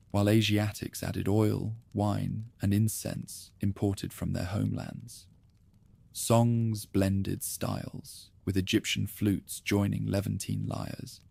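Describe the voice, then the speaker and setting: A man narrating history in a calm, slow, soothing sleep-story style. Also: lowish voice, creaky, husky, dry recording. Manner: slow, soothing, measured delivery.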